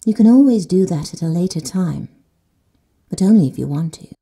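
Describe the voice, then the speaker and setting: A woman leads a guided sleep meditation in a slow, soothing manner. She often drops to soft whispers. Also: on the low side, animated, husky. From Netherlands.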